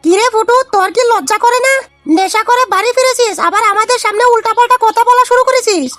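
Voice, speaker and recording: A woman's voice: extremely high pitch, quick pace, a bit bright, no room sound, soft background music. A woman voicing an indignant cartoon mother and nagging wife who scolds and laments. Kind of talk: amateur comedy cartoon dialogue.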